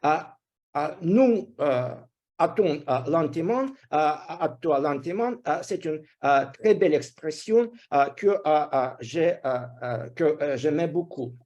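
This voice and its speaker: Halting high-voiced man with foreign accent. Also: animated, a little mellow. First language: not French. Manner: halting, hesitant delivery with constant filler sounds. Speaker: grammarian giving a report at a speaking club. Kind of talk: prepared spoken evaluation.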